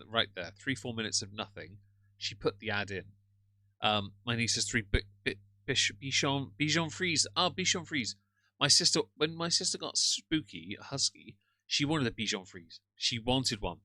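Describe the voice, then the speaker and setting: A man's voice: mid-range voice, expressive, normal speed, bright, forceful tone, no echo. A man with a British accent hosting a casual live stream, ranting sarcastically and greeting chat viewers. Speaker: livestream host reacting to another streamer.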